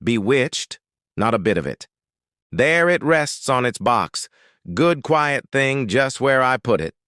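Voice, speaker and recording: A man's voice: medium pitch, sing-song, slow, sharp tone, clean tone, no room sound, studio quality, voice pushed up, exaggerated voice. A man playing a pious old priest from a storybook, pompous, exclamatory and easily rattled. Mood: dismissive.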